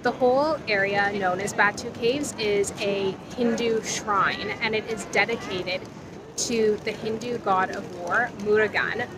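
A woman in her thirties vlogs to a handheld camera at an outdoor temple site. She speaks earnestly and lists things one by one, her voice mid-pitched. Steady outdoor noise sits clearly behind her. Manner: earnest, listing details deliberately. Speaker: travel vlogger. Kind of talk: casual travel vlog talk to camera. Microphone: handheld camera selfie framing.

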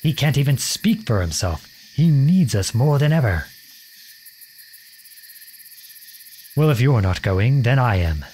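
A man playing an earnest, protective young outlawed prince in an audio roleplay, with a gentle, unhurried, folksy manner. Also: mid-range voice, very animated, breathy edge. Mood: stern, worried. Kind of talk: ASMR roleplay acting.

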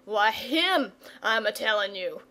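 A woman's voice: fairly high pitch, expressive, unhurried, bright, forceful tone, exaggerated voice, rough recording. A rustic shepherd of a storybook, acted out by a woman. He is an older family man, nervous and easily flustered. He talks in rambling, folksy country dialect. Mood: awed, frightened. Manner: folksy dialect speech, plain-spoken.

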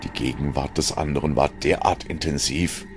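Man, dramatic storyteller, gravelly fry, music behind, science fiction narration. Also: extremely low pitch, normal speed.

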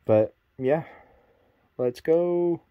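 A man's voice: a little high, extremely slow, clean tone, phone-quality sound. A young man vlogging alone from a cold abandoned cabin at night, nervous and self-deprecating, filming on a handheld camera. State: cold and sleepy. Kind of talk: casual vlog to camera.

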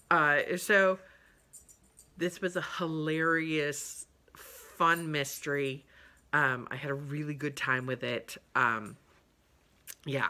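A woman's voice: deep voice, sharp tone. A woman in her fifties chatting casually about books she read, rambling with lots of ums and uhs, recorded on a webcam in her living room. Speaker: book vlogger. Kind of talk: casual book review chat. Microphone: webcam at desk distance.

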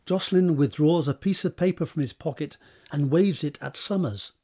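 A man's voice: fairly high pitch, moderate pace, warm tone, breathy edge. A man narrating scripted stage directions for a drama.

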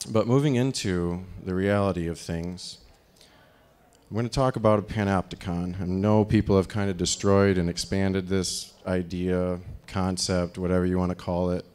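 A man in his thirties with a very low, deep voice speaks into a handheld microphone on a dark stage. He rambles through a live talk, leaning on fillers and restarts as he goes. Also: fairly even intonation, normal speed, clear, resonant tone. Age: 30s.

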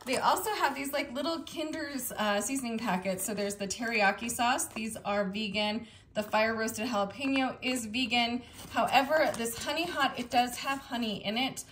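A woman's voice: a little high, fairly bright tone, slight background noise. Chatty woman narrating a grocery haul. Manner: chatty, upbeat, with fillers and little laughs. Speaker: vlogger showing a grocery haul. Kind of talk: casual chat. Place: indoors at a kitchen table.

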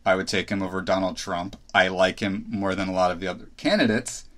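A man's voice: low voice, fairly even intonation, a little fast. A man in his thirties hosting a solo podcast, thinking aloud with restarts and asides as he gives his opinions. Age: 30s. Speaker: podcast host.

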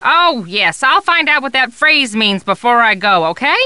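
Gushing, high-pitched chatterbox photojournalist. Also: female voice, sing-song, normal speed, bright, forceful tone, smooth, dry recording, clean studio sound, exaggerated voice. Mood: bright, friendly. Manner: gabby, gushing, rambling. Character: chatty, warm, scatterbrained woman photojournalist, easily carried away. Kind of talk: video game character dialogue.

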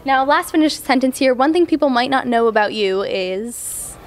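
Bubbly high-voiced young woman interviewer. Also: expressive, normal speed, crowd noise. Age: young adult. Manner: bubbly, laughs often. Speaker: interviewer for a magazine. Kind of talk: upbeat interview host. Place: indoor convention hallway. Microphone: handheld mic.

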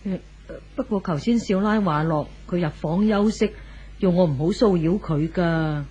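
An actress playing a deferential, solicitous household servant in a 1970s radio drama. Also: female voice, on the low side, moderate pace, mellow, breathy edge. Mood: respectful. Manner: solicitous, respectful. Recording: dull, noisy old radio tape.

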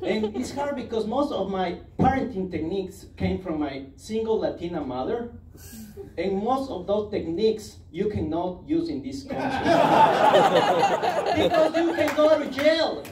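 Lively Ecuadorian-accented man doing stand-up. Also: sits high, unhurried, slight background noise. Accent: Ecuadorian Spanish. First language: Spanish. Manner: animated, self-mocking storytelling. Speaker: stand-up comedian. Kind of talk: stand-up comedy set. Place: comedy club stage, indoors. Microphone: handheld mic, filmed from the audience. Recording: roomy club sound taken from far off, loud ambience.

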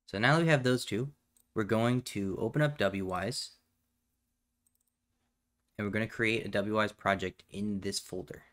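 A man hosting a step-by-step software tutorial in a casual, plainspoken way, recorded indoors at a computer. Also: fairly low pitch, moderate pace, long pauses. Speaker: tutorial host. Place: indoors at a computer, voice over screen capture.

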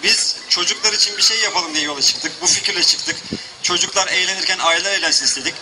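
A man, the cafe owner, giving a quick, grateful thank-you speech outdoors at the opening. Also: high voice, heavy background noise.

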